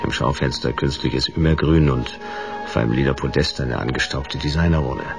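An actor playing a laconic, sardonic private detective in a German radio drama, dry and deadpan in narration and questioning. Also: male voice, a bass voice, normal speed, gravelly, over music. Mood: wry narration.

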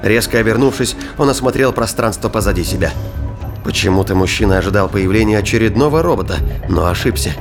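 Male audio drama narrator over soft music. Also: lowish voice, expressive, fast. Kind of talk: scripted narration of a science-fiction thriller audio drama.

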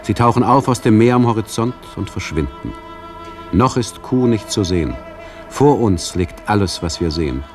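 Low-pitched male radio play voice. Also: expressive, moderate pace, faint music behind. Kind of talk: radio play monologue in short phrases.

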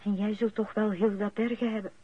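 A woman's voice: medium pitch, fairly even intonation, fairly quick, noisy background, close to an everyday voice. An actress playing a composed woman, an older man's friend, in a radio drama. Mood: grave. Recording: dull old radio recording.

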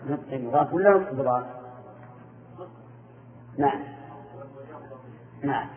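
A man's voice: medium pitch, takes it slowly. A man teaching Arabic grammar in class, quizzing students and confirming their answers with short replies. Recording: echoey room, phone-like narrow sound.

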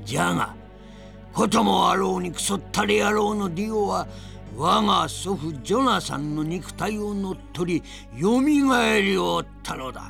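Spirited old grandfather, very creaky gravelly voice, slow theatrical storytelling, audio drama, music underneath. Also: high voice, expressive, a bit bright, full character voice. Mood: grave, dramatic. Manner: theatrical, deliberate, old-man speech with dramatic pauses. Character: spirited old grandfather reminiscing fondly about past adventures. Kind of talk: 1990s Japanese audio drama narration.

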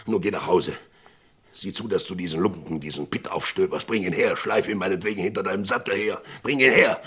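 An actor playing a desperate frontier sheriff in a Western radio play, pleading urgently with his friend, swinging from hushed to shouting. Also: sits low, a little fast, breathy edge. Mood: agitated.

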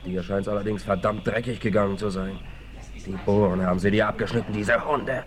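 An actor playing a rough tramp outlaw, loyal henchman to his boss, in a 1960s German western radio play. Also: lowish voice, fairly even intonation, fairly quick, close to an everyday voice. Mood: loyal, matter-of-fact. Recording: 1968 vinyl record, faint noise.

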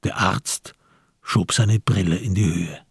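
A man reading scripted literary narration as the narrator of a radio play, with a husky voice. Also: deep voice, slow, dry recording, studio quality.

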